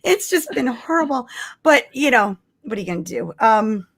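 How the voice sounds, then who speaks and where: A woman's voice: on the high side, very animated, normal speed. A woman chatting casually and expressively as co-host of a fan livestream.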